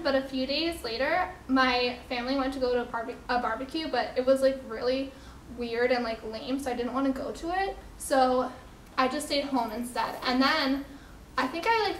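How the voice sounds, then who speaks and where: A woman's voice: high-pitched, normal speed, natural voice. A woman playing a naive teenage girl who rambles casually and hesitantly, peppering her talk with "like". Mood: vague, uneasy. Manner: hesitant teen talk, full of "like". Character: naive, self-absorbed teenage girl obsessed with being cool. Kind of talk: casual rambling monologue in amateur dramatization. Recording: echoey room, faint background noise.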